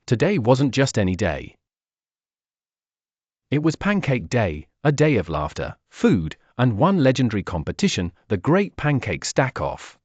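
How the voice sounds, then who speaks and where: A man reading a scripted children's story aloud in an animated, expressive storytelling manner. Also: medium pitch, a little slow, husky, no echo.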